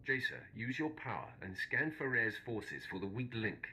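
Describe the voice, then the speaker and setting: An actor playing a cold, ruthless, commanding Sith lord in a video game. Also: male voice, on the low side, sing-song, normal speed, sharp tone, slight background noise, heavily acted, low-quality recording.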